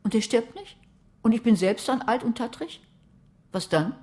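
An actress playing a bookish, cautious, sceptical woman in a radio play, husky-voiced and dry in dialogue and narration. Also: fairly high pitch, moderate pace, a little mellow. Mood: doubtful, worried.

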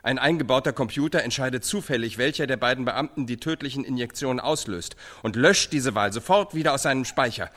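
An actor playing a prison inmate who recites hard facts in a declamatory, clipped way in a radio drama. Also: male voice, mid-range voice, a little fast, hardly any pauses, fairly bright tone, lightly acted. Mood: grave, factual. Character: male prison inmate performing in a documentary stage play.

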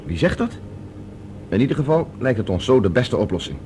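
A man acts a resolute professor in a science fiction radio play. He talks briskly in a mid-pitched voice, urgent and analytical, taking charge. There is faint noise behind him. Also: sing-song, a little mellow, close to an everyday voice. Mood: decisive, insistent. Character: decisive male professor plotting as captive space traveller.

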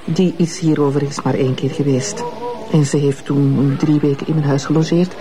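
A woman's voice: low-pitched, fairly even intonation, fairly quick, natural voice. An actress as a bitter, composed Flemish woman, music behind. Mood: defiant, cool. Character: composed, bitter middle-aged ex-wife of an abusive notable. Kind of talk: television crime drama acting.

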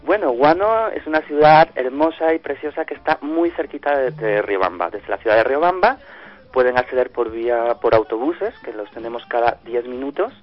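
A man's voice: mid-range voice, lively intonation, normal speed. A man from Ecuador being interviewed over the phone, answering with many hesitations and restarts but with real enthusiasm for his hometown. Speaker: festival organizer guest. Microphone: phone line. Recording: dull lo-fi phone line.

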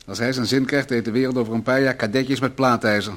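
A man's voice: mid-range voice, steady tone, fast, fairly bright tone, close to an everyday voice. A man playing a worried, earnest husband in a radio play, a brooding company economist given to rhetoric. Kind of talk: radio play acting, domestic dialogue.

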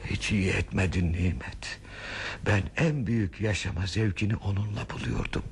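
An actor playing a stubborn, wistful old husband in a radio play, with an insistent, protesting manner. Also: male voice, fairly low pitch, moderate pace, vocal fry, breathy edge, exaggerated voice. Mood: hurt, indignant. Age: older. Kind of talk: radio theatre acting.

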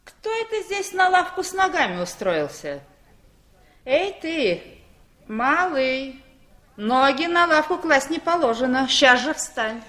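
A woman's voice: sits high, very animated, takes it slowly, bright, forceful tone, exaggerated voice. An actress playing a grumbling, rule-bound woman in a 1960s radio play, scolding in a bossy, nagging manner.

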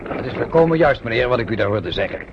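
A muffled, noisy old radio play. An actor plays a German army sergeant, a confident occupier with a chummy, fatherly manner. He speaks at a middling male pitch and keeps coaxing with small reassuring questions. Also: animated, quick pace, lightly acted. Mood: affable, persuasive.

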